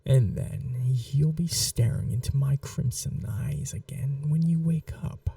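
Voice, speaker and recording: Woman to play seductive vampire noblewoman for ASMR roleplay: very dark, mellow, creaky voice, soft whispering, possessive and teasing. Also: a bass voice, no room sound, far from the mic, heavily acted. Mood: tender, soothing. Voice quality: soft, breathy, often whispered. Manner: slow, languid, teasing and coaxing.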